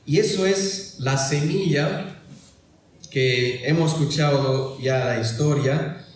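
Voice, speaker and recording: A man whose native language is German preaches and tells stories in a halting, deliberate way, as if working in a second language. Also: fairly high pitch, far from the mic. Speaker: guest preacher at a church gathering. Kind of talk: sermon with storytelling. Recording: very echoey hall, noticeable background noise.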